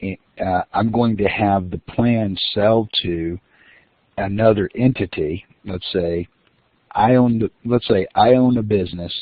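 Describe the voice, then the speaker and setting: A man's voice: on the low side, unhurried, no room sound. A man giving a recorded lecture as the instructor, explaining step by step with fillers and restarts. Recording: dry, phone-like lo-fi audio.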